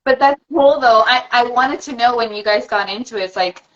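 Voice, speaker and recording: A woman's voice: a little high, fairly quick. A woman hosting a casual live video chat, a gushing fan asking questions. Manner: gushing fan, frequent exclamations. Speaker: host, fan. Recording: dull lo-fi livestream audio.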